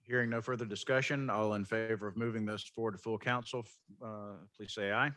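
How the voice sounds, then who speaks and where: A man chairing a city committee meeting, running motions and votes in a measured, procedural way. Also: fairly low pitch, fairly even intonation, fairly bright tone, no room sound.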